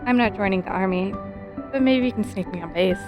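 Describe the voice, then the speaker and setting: A woman's voice: mid-range voice, fairly quick, over music, close to an everyday voice. A young woman playing a devoted, playful eighteen-year-old sweetheart in a romantic audio drama.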